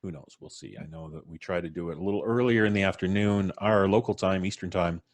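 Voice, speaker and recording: A man's voice: deep voice, steady tone, fast. A man from Canada hosting a casual live stream, introducing guests in a jokey, showy announcer style.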